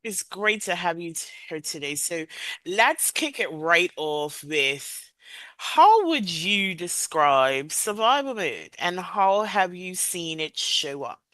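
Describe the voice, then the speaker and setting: A woman hosting a podcast interview, speaking in a measured, earnest way into a headset. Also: fairly low pitch, very animated, bright, forceful tone, no echo. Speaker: podcast host.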